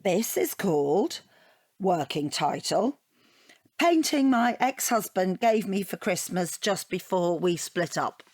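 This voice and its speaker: A woman's voice: fairly low pitch, animated, takes it slowly, a little mellow, no room sound, studio quality. An actress playing a rambling, earnest, self-deprecating divorced woman in a naturalistic audio drama. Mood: chatty, defensive. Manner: rambling, earnest, easily flustered. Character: chatty, self-deprecating divorced woman taking an art appreciation class.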